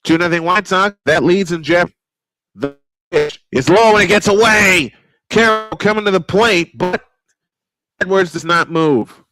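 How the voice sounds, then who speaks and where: A man calling live baseball play-by-play, excitable and prone to repeating his points. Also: high-pitched, dry recording. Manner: excitable, repeats points, quick calls of action. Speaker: play-by-play announcer. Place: home sports studio.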